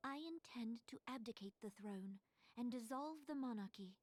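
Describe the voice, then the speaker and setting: A young queen of a fantasy game played by an actress, high in pitch and grave in temper. Her speech is regal and deliberate, with formal old-fashioned phrasing, recorded close and dry. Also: a little mellow, dry recording, close to an everyday voice. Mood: grave, resolute. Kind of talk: video game cutscene acting.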